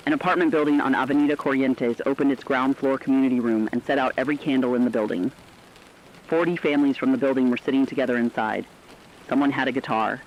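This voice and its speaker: A man's voice: mid-range voice, slight background noise, close to an everyday voice. A man playing a solemn radio presenter, reading scripted dispatches in an earnest, reflective storytelling manner. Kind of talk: scripted dramatic radio narration. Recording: dull band-limited radio sound.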